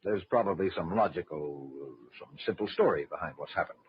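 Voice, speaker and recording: A man playing a steady, cautious, commanding ship's captain in an old-time radio drama. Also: low-pitched, fairly quick. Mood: cordial, reassuring. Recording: dull, noisy old-time radio recording.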